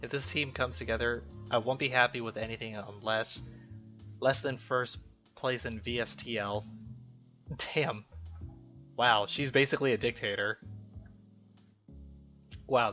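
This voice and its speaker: A man's voice: medium pitch, bright, forceful tone. A man doing casual, jokey let's play commentary, reacting aloud to a game and reading its text. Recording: muffled lo-fi mic, music behind.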